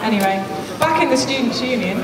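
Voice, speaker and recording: A woman's voice: on the high side, expressive, brisk, over a noisy crowd, lightly acted. A woman playing a wry storybook narrator in a live comic pantomime, in a theatrical storytelling style. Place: large hall, live amateur stage show. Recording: distant, echoey hall, heavy background noise.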